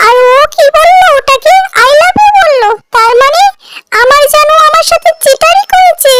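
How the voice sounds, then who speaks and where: An actor voicing a lovesick, excitable little male cartoon ghost in a squeaky, childlike voice with colloquial speech. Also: moderate pace. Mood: hurt, angry.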